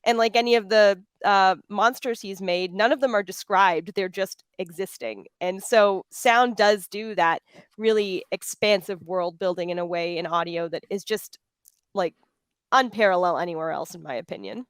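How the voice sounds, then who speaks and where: Clear-voiced woman moderating a panel. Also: medium pitch, normal speed, no room sound. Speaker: panel moderator and audio drama creator. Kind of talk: panel discussion, casual talk.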